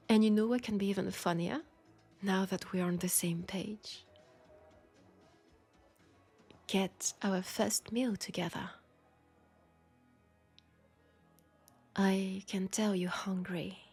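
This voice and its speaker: Slow, seductive French-accented vampire woman, acted by a woman. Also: mid-range voice, vocal fry, breathy edge, exaggerated voice. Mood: seductive, eager. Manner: soft, intimate, deliberate, often slipping into whispers. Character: seductive, world-weary female vampire seeking a companion. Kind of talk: intimate scripted audio roleplay monologue.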